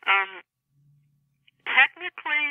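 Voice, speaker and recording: Woman, interviewed author, conversational and explanatory, halting mid-sentence, thin telephone sound, dry. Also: high voice, animated, dry recording. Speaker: author being interviewed about a novel. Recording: tinny narrowband phone line.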